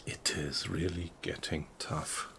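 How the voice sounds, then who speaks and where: German man, German accent, low and gravelly, unhurried, murmuring game-stream commentary. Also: in a whisper. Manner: relaxed, slow, often quiet and mumbled. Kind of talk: casual gameplay commentary, chatting with viewers.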